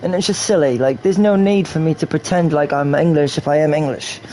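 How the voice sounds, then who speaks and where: A man's voice: on the high side, fairly quick, warm tone, a little hiss or hum, poor audio. A man from New York rambling through casual game stream commentary, repeating himself and slipping in and out of a put-on English accent. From New York, USA.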